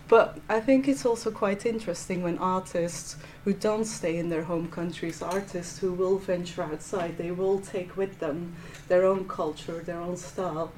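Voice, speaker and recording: A woman from Flanders with a Flemish accent, a museum curator giving a measured art history lecture with frequent restarts. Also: lowish voice, fairly even intonation, darkish tone, slight background noise, rough recording. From Belgium (Flanders). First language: Dutch. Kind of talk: prepared lecture.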